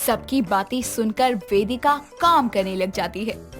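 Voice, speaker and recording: A woman's voice: fairly high pitch, a little slow, faint music behind. A woman narrator telling a scripted domestic story.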